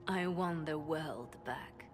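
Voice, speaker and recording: A hardened, vengeful woman spymaster speaks fairly low, in cold, clipped phrases. She is grave and resolute, in dramatic video game style, with very loud music around her. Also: slow pace. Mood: bitter.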